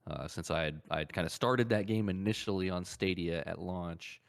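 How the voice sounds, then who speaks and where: A man's voice: low voice, normal speed, vocal fry. A man hosting a podcast, chatting casually about video games and often circling back to repeat his phrases. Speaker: podcast host.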